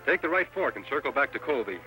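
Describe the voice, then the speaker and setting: An American actor playing a steady, commanding masked cowboy hero in an old-time radio western. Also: male voice, mid-range voice, fast, poor audio. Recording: old radio broadcast with music behind.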